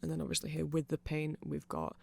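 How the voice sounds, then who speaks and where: A man's voice: on the high side, level tone, a little fast, vocal fry. A man giving a rambling ASMR explainer, often correcting himself as he goes. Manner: rambling, self-correcting, chuckling asides. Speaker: ASMR creator explaining band lore.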